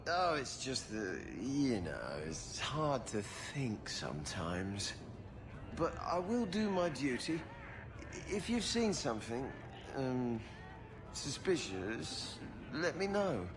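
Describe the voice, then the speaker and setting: An actor playing a dazed, dutiful guard in a fantasy video game, hesitant and halting. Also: male voice, mid-range voice, wildly swooping pitch, full character voice. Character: dazed, slow-witted, dutiful male guard. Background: music.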